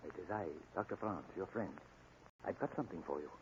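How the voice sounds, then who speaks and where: An actor playing a kindly, learned doctor with a deliberate, precise delivery in a 1940 radio drama. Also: male voice, low-pitched, lightly acted. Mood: urgent, confiding. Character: kindly, learned male doctor, secretive scientist friend. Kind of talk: 1940s radio acting. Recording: muffled, noisy 1940 radio recording.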